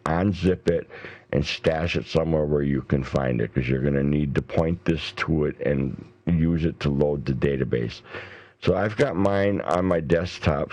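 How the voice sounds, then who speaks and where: Very deep-voiced man giving a tutorial. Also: gravelly, a little mellow, dry recording, highs cut off. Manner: informal, explanatory. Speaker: software tutorial presenter. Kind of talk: casual step-by-step screen tutorial. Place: indoors, voice-over for a screen recording.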